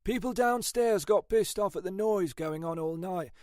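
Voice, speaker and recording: A man's voice: high-pitched, normal speed, a little mellow, dry recording, clean studio sound, pitched above the performer's own voice, close to an everyday voice. A man voicing an overworked police detective in an audiobook, mournful and chatty, grumbling in colloquial speech. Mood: grim, chatty.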